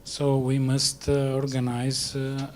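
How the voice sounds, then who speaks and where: A man speaking as a panelist, halting and filling pauses while he makes his point. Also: mid-range voice, completely flat delivery, a little mellow, slight background noise. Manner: halting, many fillers. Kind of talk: panel discussion remarks.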